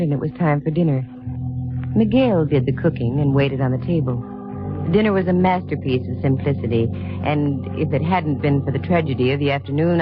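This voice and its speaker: A woman's voice: deep voice, close to an everyday voice. An actress playing a wry, composed female private investigator in a 1950s radio detective drama, speaking articulately. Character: dry, poised female private investigator, adult. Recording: scratchy, noisy narrowband old radio recording.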